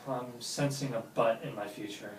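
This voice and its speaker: A man's voice: mid-range voice, fairly even intonation, moderate pace, natural voice. An actor playing a lovesick, pushy man pleading earnestly with his best friend in naturalistic film dialogue. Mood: wounded sarcasm. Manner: earnest, insistent. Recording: roomy, distant mic with faint noise.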